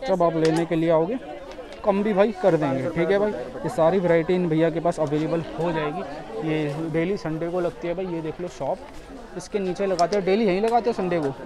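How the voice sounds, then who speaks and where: A man's voice: a little high, fairly even intonation, moderate pace. A man giving a casual market tour as a vlogger, often repeating himself and addressing viewers as brother, recorded outdoors in a street market. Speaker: vlogger and host. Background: crowd chatter.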